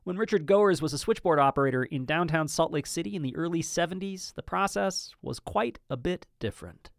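Male host, a bit higher voice, dry close mic, measured script read. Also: expressive, gravelly, no echo. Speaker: host, podcast narrator. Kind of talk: scripted narration.

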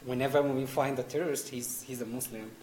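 A man's voice: medium pitch, fairly even intonation, a little fast, darkish tone. Hesitant man from Egypt. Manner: hesitant, repeats words, acts out quoted exchanges. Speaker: panel guest. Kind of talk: panel discussion answers. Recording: echoey room with faint noise.

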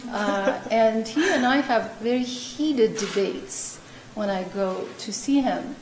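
A woman's voice: a little high, slow pace. A woman professor on a discussion panel, telling chatty anecdotes with many fillers. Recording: echoey room with faint noise.

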